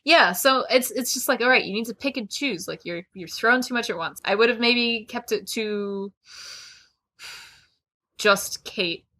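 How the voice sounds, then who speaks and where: A woman hosting a casual book-discussion podcast, animated and opinionated, peppering her talk with "like". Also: on the high side, a little slow. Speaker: podcast host.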